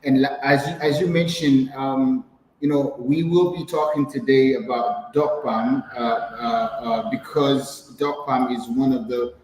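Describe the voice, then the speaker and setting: Male guest, French Haitian accent, mid-pitched, halting speech, roomy call audio. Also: steady tone, a little mellow, slight background noise. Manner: hesitant, many fillers and restarts. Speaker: guest entrepreneur. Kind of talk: video call interview.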